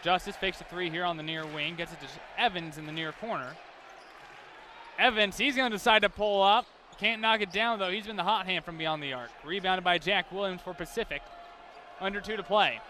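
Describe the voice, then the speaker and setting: A high-voiced American man calls a basketball game live. He follows the action in running detail as the play-by-play announcer. Clear arena noise sits behind his voice. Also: a little fast. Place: courtside in a large basketball arena.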